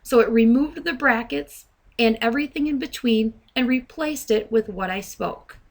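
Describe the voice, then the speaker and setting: A woman trainer narrating an instructional software demonstration, speaking deliberately and enunciating dictated commands. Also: fairly high pitch. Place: voice-over to a screen recording.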